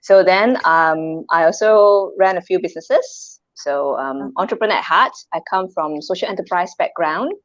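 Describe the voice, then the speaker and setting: A chatty Malaysian woman in her thirties, talking easily as a guest on a video call. Her voice sits a little low and sounds dry and dull, like a lo-fi line. She laughs often and throws out rhetorical questions. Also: moderate pace, few pauses, no echo. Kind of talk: casual chat.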